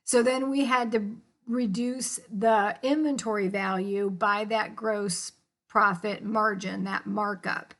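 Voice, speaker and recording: A woman's voice: mid-range voice, steady tone, takes it slowly, fairly bright tone. An American woman giving an informal, explanatory lecture as an instructor, in colloquial language with casual check-ins. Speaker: accounting instructor.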